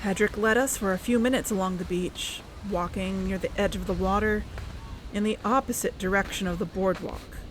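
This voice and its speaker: An actress playing an earnest, uneasy young woman investigator, narrating her own story in a measured, confessional way for an audio drama. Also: mid-range voice, fairly even intonation, slight background noise, lightly acted, low-quality recording. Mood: tense, apprehensive. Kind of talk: scripted first-person audio drama narration.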